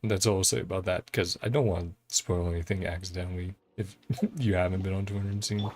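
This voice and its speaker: A man's voice: deep voice, steady tone, fast talker, darkish tone, slight background noise. A man streaming a game, chatting casually and reading the dialogue aloud in his own voice with frequent chuckles. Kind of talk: casual live-stream commentary. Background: faint game music.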